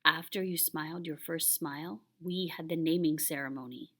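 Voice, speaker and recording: A woman's voice: low-pitched, fairly even intonation, normal speed, bright, forceful tone. A woman voicing a patient, solemn old grandfather who tells a tale in a grave, tender way, reading a children's picture book. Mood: gentle, solemn.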